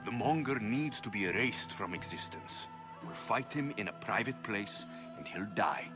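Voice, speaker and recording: An actor playing a confident, wry mercenary warrior hero, blunt and dry, in a video game cutscene. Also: male voice, on the low side, animated, moderate pace, over music, natural voice. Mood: firm, matter-of-fact.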